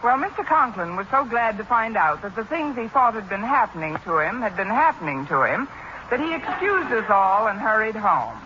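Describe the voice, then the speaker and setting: An actress plays a long-suffering schoolteacher with a quick, sardonic wit. Her delivery is dry yet swings widely in pitch, in the manner of 1940s radio comedy. The old recording is dull and noisy. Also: natural voice. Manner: dry, deadpan wisecracks. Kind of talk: 1940s radio sitcom acting.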